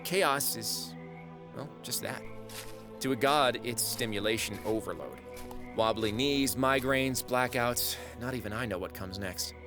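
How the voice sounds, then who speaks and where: A man's voice: mid-range voice, a little slow, over music, lightly acted. A man acting in an audio drama as a flippant, sardonic minor god who banters casually and wheedles. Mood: grudging, wary. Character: flippant, fast-talking minor trickster god, lately a frog.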